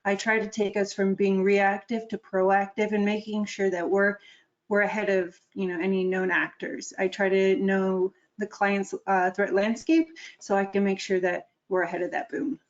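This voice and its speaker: A woman speaking as a guest threat analyst on a webinar, explaining her work in a relaxed, conversational way. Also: mid-range voice, moderate pace, highs cut off. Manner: relaxed and conversational, with small restarts. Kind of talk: casual professional explanation.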